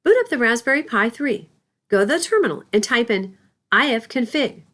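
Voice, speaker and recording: A woman's voice: fairly high pitch, animated, moderate pace, a bit bright. A woman instructor reading a scripted tutorial in a careful, instructional way.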